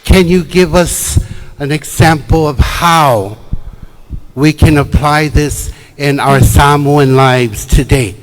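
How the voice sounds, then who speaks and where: A man's voice: fairly high pitch, slow pace. A man in the audience politely asking a question from the floor at a church event. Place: church hall. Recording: echoey hall with faint noise.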